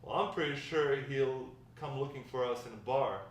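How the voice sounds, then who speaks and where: A man's voice: mid-range voice, normal speed, close to an everyday voice. Wry old grandfather recounting shady past, played by a man, table read, heard from afar in a roomy, faintly noisy space. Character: wry old grandfather spinning tales of his drug-dealing past. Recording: distant, very echoey room, faint noise.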